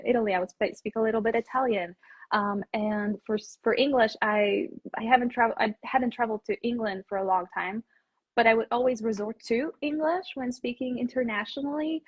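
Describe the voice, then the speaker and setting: A young woman from Poland, a native Polish speaker with an American accent, chatting casually as an interview guest in a slightly husky voice. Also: a little high, rough recording. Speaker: English teacher as interview guest. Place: indoors on an online video call.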